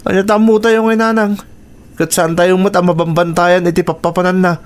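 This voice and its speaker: A man's voice: sits high, level tone, fairly quick, smooth, lightly acted. A man with an Ilocano accent playing a jealous, suspicious, brooding husband in a radio drama, accusing and emphatic.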